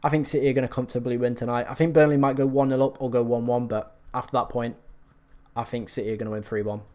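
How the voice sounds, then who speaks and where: A man hosting a live stream, chatting casually and reacting to viewer comments, his voice slightly hoarse from hours of talking. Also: medium pitch, level tone, fast talker, darkish tone.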